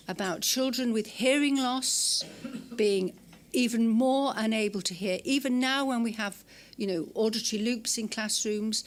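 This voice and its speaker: An older woman from England giving an academic lecture in a lecture room, forthright and blunt, with frequent ums and restarts. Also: on the high side. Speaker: guest lecturer. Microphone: hand mic.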